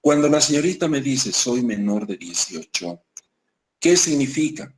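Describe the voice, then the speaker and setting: A man's voice: medium pitch, big pitch swings, slight background noise, low-quality recording. Patient man teaching a math class. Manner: repeats points, keeps checking with "¿listo?"; slows down on key definitions. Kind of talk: classroom lecture.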